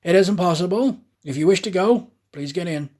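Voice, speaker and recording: A firm, brisk male train conductor acted out by a male narrator speaks in storybook dialogue. His voice sits well up in pitch, curt and unyielding. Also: lively intonation, darkish tone. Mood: firm, impatient.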